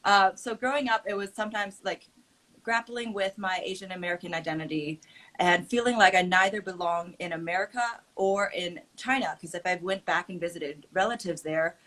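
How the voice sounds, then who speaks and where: A woman's voice: on the low side, normal speed, fairly bright tone. A woman from Oakland, California, whose first language is Mandarin, telling her story as a guest in a casual group chat in an earnest way with frequent fillers.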